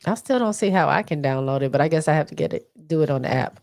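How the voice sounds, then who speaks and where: Stuffy American woman co-host, lower voice, reads box scores with fillers. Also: lively intonation, fast, creaky, darkish tone. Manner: reads stats with many fillers. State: stuffy from allergies. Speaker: podcast co-host. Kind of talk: casual sports recap.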